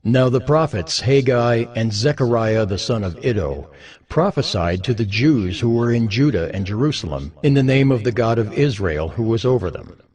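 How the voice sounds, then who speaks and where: Expressive male scripture narrator. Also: on the low side. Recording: music behind the voice.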